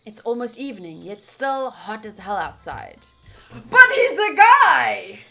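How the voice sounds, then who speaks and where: A woman's voice: fairly high pitch, very animated, unhurried, gravelly, pushed and bright. A woman game streamer reading a visual novel aloud theatrically, mixed with casual reactions. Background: faint game music.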